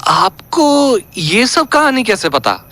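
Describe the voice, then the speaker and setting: A woman's voice: high voice, big pitch swings, takes it slowly, gravelly, a bit bright, heavily acted. A woman playing a brash, cheeky female ghost with a blunt, slangy manner, in a horror comedy audio drama. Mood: curious.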